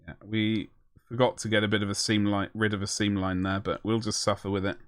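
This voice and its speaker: A man's voice: on the low side, little pitch movement, brisk, fairly bright tone, clear, resonant tone, no room sound, studio quality. A man chatting casually on a live stream as he paints miniatures, rambling and self-deprecating as he answers his chat.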